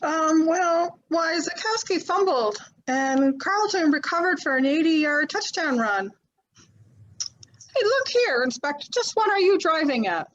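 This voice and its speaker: A woman's voice: very high voice, expressive, a little slow, highs cut off. A woman playing a flustered widow in a 1940s-style radio mystery, talking in a breathless, stammering way. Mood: defensive. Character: flustered middle-aged widow, woman, quick to defend herself.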